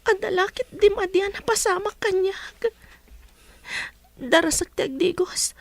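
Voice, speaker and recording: A woman's voice: extremely high pitch, a little slow. A woman playing a bewildered, distressed young woman in a radio drama.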